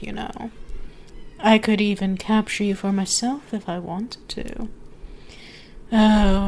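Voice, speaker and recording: A woman plays an ancient dragoness in an intimate ASMR roleplay. She speaks slowly, teasing and dominant, often sinking toward a whisper. A clear layer of background ambience sits behind the voice. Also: mid-range voice, a little hiss or hum. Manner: slow, teasing, drawn-out, often dropping to whispers.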